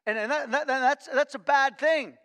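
High-voiced older pastor in a church hall. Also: male voice, normal speed, a bit bright. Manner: earnest, repeats words when stressing a point. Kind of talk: sermon with scripture reading. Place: church stage with lectern.

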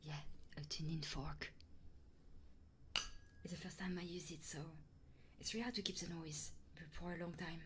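A woman streaming ASMR, chatting casually and playfully with her viewers in a soft, intimate style. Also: on the low side, fairly even intonation, moderate pace, long pauses, noisy background.

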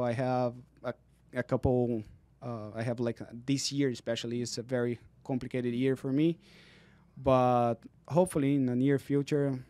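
A man in his thirties explains exercises and leg health with patient earnestness. His voice is mellow and warm rather than bright, at an ordinary middle pitch. It is recorded close on a studio mic with a dry, dead sound. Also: no room sound. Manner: earnest, explanatory, non-native phrasing. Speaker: physical therapist guest. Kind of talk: explaining in casual interview. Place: dark podcast studio. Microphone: studio mic on boom arm, headphones.

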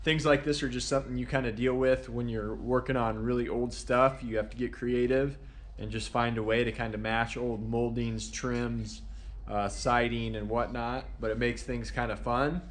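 A man's voice: medium pitch, normal speed, a little hiss or hum. A man, casually explaining a barn repair job on his vlog with lots of fillers. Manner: relaxed and explanatory, with many "uh" and "kinda" fillers. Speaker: carpenter and channel host. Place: outdoors at a farmyard barn and inside a large workshop.

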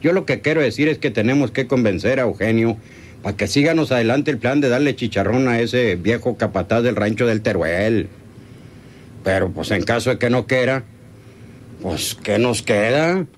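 Casting: menacing ranch henchman male actor, rural Mexican accent, mid-pitched, emphatic, old radionovela with faint noise. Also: normal speed, close to an everyday voice. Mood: cold, coaxing. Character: scheming, menacing, stubborn hacienda henchman. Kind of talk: radionovela acting.

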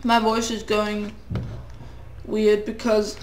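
Teen gamer with a cold, high-ish voice, rambling, echoey home room; casual commentary. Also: male voice, monotone, slow, a little hiss or hum. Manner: rambling, self-deprecating, sing-song asides. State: a cold, voice getting hoarse.

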